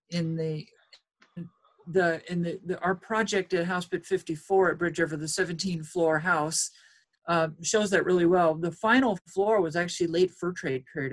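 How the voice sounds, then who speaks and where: Low-voiced woman archaeologist explaining. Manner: measured, explanatory, some hesitations. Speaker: archaeologist guest. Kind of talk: expert interview answers.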